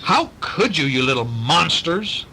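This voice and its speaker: A man acts out a storybook troop leader, a bossy and exasperated woman. The voice is raised and forceful, barking orders and scolding in a lifted pitch. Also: very animated, a little slow, slight background noise, exaggerated voice.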